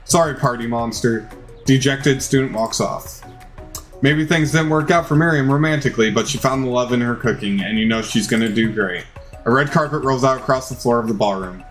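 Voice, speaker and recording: A man's voice: mid-range voice, brisk. Male game-playthrough narrator, mid-range voice, theatrical read with small stumbles, music underneath. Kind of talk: dramatized reading of game text. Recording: music behind the voice.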